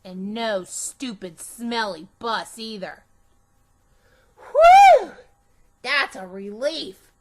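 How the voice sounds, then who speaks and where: An imaginative, chatty little girl tells her own storybook tale, acted out by a woman. Her voice is high and very animated, with slow, emphatic, childish phrasing and a bossy streak. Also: very noisy, heavily acted. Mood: chatty, earnest, then excited.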